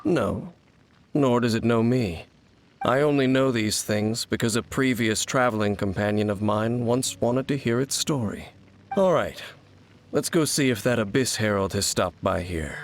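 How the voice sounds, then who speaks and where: An actor playing a mysterious, weary wandering swordsman in a game, speaking in a grave, measured way. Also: mid-range voice, a little hiss or hum, natural voice. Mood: solemn. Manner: grave, measured, solemn storytelling. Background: game music.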